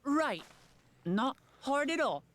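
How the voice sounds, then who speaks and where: A woman's voice: sits high, very animated, slow, fairly bright tone, exaggerated voice. An actress playing an earnest, polite young woman adventurer in a fantasy game. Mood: earnest, doubtful.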